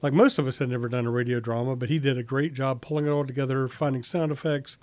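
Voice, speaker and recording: A man's voice: medium pitch, steady tone, quick pace, a little mellow, no echo. A man talking casually in a studio conversation, as a writer and public broadcaster introducing a radio drama.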